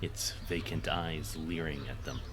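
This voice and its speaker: A man reading scripted story narration for an audio drama in a measured, wry, literary manner. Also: a bass voice, steady tone.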